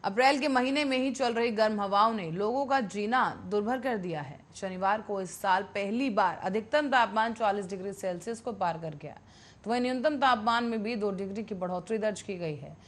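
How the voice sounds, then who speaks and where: A woman's voice: medium pitch, normal speed. A woman reading scripted news as a television anchor.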